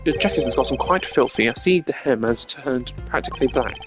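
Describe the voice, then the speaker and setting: A man plays a stern, cerebral game investigator. He speaks in a formal, precise way with dry sarcasm, at a middling male pitch, over background music. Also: expressive, brisk, a bit bright, lightly acted. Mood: composed, formal. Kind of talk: hobby voice acting of a video game. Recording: music behind the voice.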